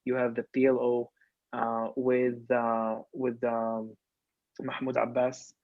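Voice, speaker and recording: A young man from Lebanon talks as a podcast guest, often pausing on ums and starting sentences over. His voice sits at an ordinary pitch. The sound is dry and a bit muffled. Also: monotone, no room sound. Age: 20s. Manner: hesitant, many ums and restarts, non-native grammar. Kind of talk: casual interview talk.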